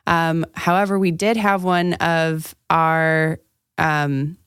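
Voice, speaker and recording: A woman's voice: deep voice, flat delivery, slow pace, fairly bright tone, clear, resonant tone, no room sound, studio quality. A woman co-hosting an astrology podcast, talking in a casual, conversational way.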